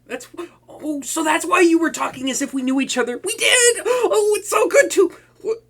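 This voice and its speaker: A man's voice: very high-pitched, lively intonation, moderate pace, a bit bright, heavily acted. A man playing a flustered, boastful young male supervillain who stammers and swings between moods. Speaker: voice actor. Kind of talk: hobby audio roleplay to a listener.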